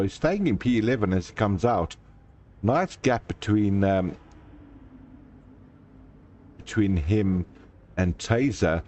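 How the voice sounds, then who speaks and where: A man's voice: lowish voice, a little mellow, slight background noise. A man hosting a live sim racing stream, calling the race and joking with viewers in the chat. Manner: jokey, chatty, reads viewer comments aloud.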